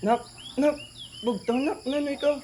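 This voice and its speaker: A woman's voice: high-pitched, steady tone, normal speed, dark tone, slight background noise, close to an everyday voice. A woman with a Western Visayan Filipino accent playing a concerned father in an amateur radio drama. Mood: concerned, urgent.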